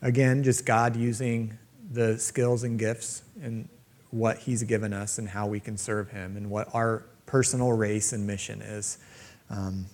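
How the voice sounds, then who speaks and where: A man's voice: fairly low pitch, flat delivery, a little slow, a little mellow. A man speaking casually to a church group about mission life, plainspoken with some fillers. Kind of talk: church presentation talk.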